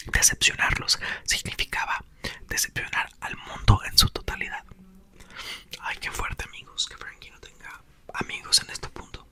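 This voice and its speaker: A man reading a novel aloud in a soft, slow ASMR whisper, close to the microphone. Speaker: ASMR reader.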